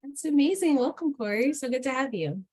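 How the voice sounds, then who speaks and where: A woman's voice: high voice, animated, fairly quick, darkish tone. A woman facilitating a workshop on a video call, encouraging and welcoming the participants.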